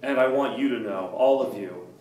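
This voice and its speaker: A man's voice: medium pitch, moderate pace, darkish tone, lightly acted. Man acting subdued dying young man, echoey. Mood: fatalistic. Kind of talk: staged play reading. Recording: echoey room, distant mic, faint noise.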